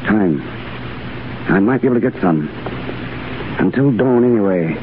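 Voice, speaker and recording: An actor in 1930s radio drama playing a commanding Foreign Legion sergeant with a firm, controlled, clipped manner. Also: male voice, on the low side, animated, normal speed, lightly acted. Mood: resolute. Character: steady, commanding legionnaire sergeant, a gentleman soldier. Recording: noisy, tinny 1930s radio broadcast.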